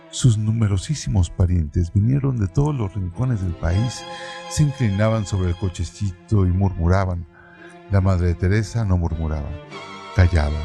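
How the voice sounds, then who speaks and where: A man giving a measured, deliberate scripted reading of a literary text as narrator of a radio-theatre piece. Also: deep voice, vocal fry.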